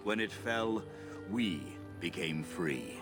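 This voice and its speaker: Deep-voiced solemn elven elder. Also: male voice, lively intonation, full character voice. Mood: solemn storytelling. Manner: solemn, measured, oratorical. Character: old male elf storyteller, grave, gently scolding elder. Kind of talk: dramatic storytelling game dialogue. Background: music.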